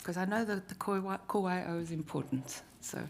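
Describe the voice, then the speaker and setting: South African woman with a low, gravelly voice, running a workshop at a live event. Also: fairly quick, a little hiss or hum. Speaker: workshop facilitator. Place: university meeting room.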